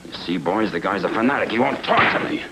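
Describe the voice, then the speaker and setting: A man's voice: fairly low pitch, lively intonation, fast, natural voice. An American actor playing a tough, sarcastic gangster henchman in film noir style, with slangy, menacing wisecracks. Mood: scornful. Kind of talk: 1940s film noir radio-style acting. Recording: noisy old film soundtrack.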